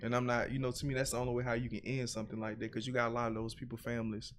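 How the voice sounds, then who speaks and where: A man from Buffalo holds forth as a podcast guest, blunt and profane, piling one point on top of the next. His voice sits at an everyday middle pitch, and he tells his stories with lively, animated swings. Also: very fast, a little hiss or hum. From Buffalo, New York. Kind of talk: casual podcast conversation.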